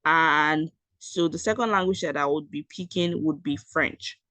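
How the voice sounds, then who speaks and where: A woman's voice: low-pitched, unhurried, a bit bright, dry recording. A Nigerian woman who speaks Yoruba at home, giving a chatty screen-share tutorial with many fillers and self-corrections. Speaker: education YouTuber.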